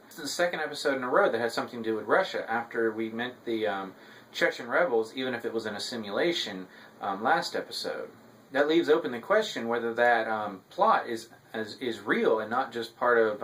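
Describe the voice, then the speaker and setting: Man giving halting review commentary. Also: medium pitch, a bit bright, low-quality recording. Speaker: TV episode reviewer. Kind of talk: semi-scripted recap and review commentary.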